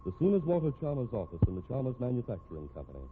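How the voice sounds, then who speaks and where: A thin, clearly noisy vintage radio recording of a male announcer. His voice is mid-pitched and moves with lots of swing. He reads scripted narration in an emphatic, theatrical style. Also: big pitch swings, very fast, phone-quality sound.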